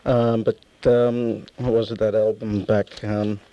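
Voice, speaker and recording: A man conducting an informal arts radio interview, speaking haltingly as he feels for his questions. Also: lowish voice, flat delivery, lo-fi sound. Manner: hesitant, halting, feeling for questions.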